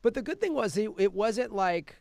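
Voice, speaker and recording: A man hosting a casual film review chat as a comedian, telling things in an animated way with mimicked quotes. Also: high voice, moderate pace, creaky, no room sound, studio quality. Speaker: podcast host and film reviewer; touring stand-up comedian.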